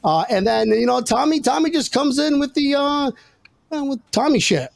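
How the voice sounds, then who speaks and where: A man's voice: extremely high pitch, animated, normal speed, creaky, no room sound, clean studio sound. A man hosting a casual sports podcast with jokey, self-deprecating banter and salty language. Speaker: podcast host.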